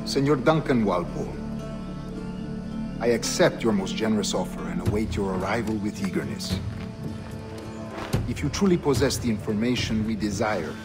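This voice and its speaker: A man playing a suave, calculating colonial governor with a Spanish accent. His deep voice reads formally and with courtly measure, under loud music. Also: animated, normal speed, long pauses, natural voice. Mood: courtly, solemn. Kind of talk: letter read as dramatic voice-over.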